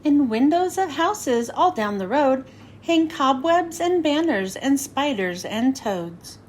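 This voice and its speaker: A woman reading a rhyming storybook to children in an expressive, sing-song way. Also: high voice, slow pace, slight background noise. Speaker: children's read-aloud host. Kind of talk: scripted storybook narration for children.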